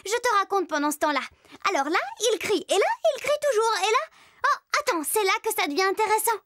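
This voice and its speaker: An actor playing a chatty, playful young storyteller in a cartoon, teasing and lively, talking straight to the listener. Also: very high voice, fast, heavily acted. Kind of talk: animated storytelling to children. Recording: music behind the voice.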